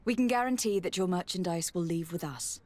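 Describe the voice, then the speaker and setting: A woman playing a diplomatic young noblewoman in a video game, polite, formal and quietly assertive. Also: on the low side, fairly quick, close to an everyday voice. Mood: assured. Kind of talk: video game cutscene acting.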